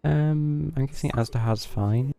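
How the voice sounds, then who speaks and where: A man's voice: medium pitch, measured pace, creaky, warm tone, a little hiss or hum. A man giving casual commentary as he streams a game.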